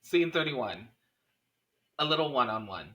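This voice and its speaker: Bright-voiced man narrating a screenplay. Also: medium pitch, sing-song, a little slow, poor audio. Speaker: stage-direction narrator. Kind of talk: scripted narration at a table read.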